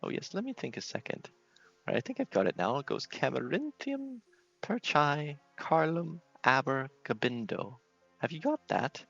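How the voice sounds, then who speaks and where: A man's voice: fairly high pitch, sing-song, moderate pace, gravelly, a bit bright, slightly rough, highs cut off, soft background music, pitched above the performer's own voice, heavily acted. A man voicing an elderly, mystical fortune-teller with a theatrical, portentous way of talking. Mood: recalling.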